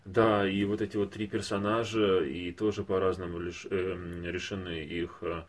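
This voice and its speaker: A man's voice: low-pitched, level tone, normal speed. A man co-hosting a podcast, discussing reflectively and conversationally, analytical and often searching for words. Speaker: podcast co-host.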